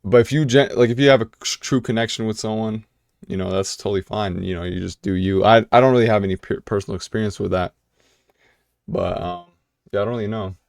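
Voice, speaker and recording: A man's voice: fairly low pitch, fairly quick, vocal fry, darkish tone, no room sound, clean studio sound. A young American man answering viewer questions in a casual vlog, talking loosely with lots of fillers and restarts. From United States. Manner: casual, filler-heavy with many "you know"s and restarts.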